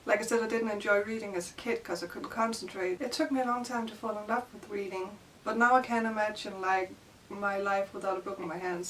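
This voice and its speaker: A woman's voice: mid-range voice, recorded from a distance, slight background noise, low-quality recording. A young woman from Denmark with a Danish accent, chatting shyly and hesitantly in a casual vlog, full of "like" and "you know". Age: young adult. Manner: shy, hesitant, many fillers like "like" and "you know". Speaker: book vlogger. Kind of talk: casual vlog answering tag questions.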